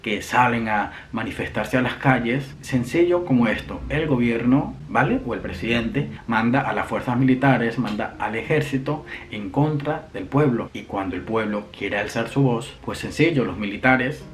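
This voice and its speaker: A man's voice: medium pitch, normal speed, hardly any pauses, a little hiss or hum. Earnest young Venezuelan man vlogging. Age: young adult. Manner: earnest, talks with his hands. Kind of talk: casual explainer to camera. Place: indoor room at home.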